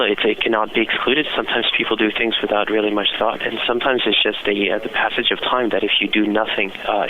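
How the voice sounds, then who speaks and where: A man giving market commentary as an interview guest over the phone, speaking in halting phrases with frequent uhs and repeated words. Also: fairly low pitch. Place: office, on a phone call. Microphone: telephone. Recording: tinny phone line with background chatter.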